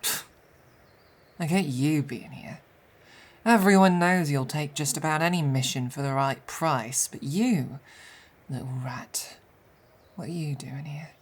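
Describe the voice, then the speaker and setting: A woman's voice: deep voice, unhurried, pauses often. A woman playing a defiant, crude and cocky female bandit in a fantasy audio roleplay, sneering and taunting as she speaks.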